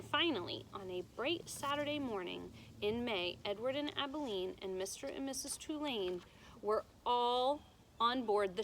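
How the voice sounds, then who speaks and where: A woman reads a story to young students like a teacher. Her voice is fairly high and bright, and her delivery is emphatic and explanatory. A little noise is audible behind her. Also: poor audio. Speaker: teacher reading aloud to students. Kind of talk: storybook read-aloud with simple explanations for children. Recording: noticeable background noise.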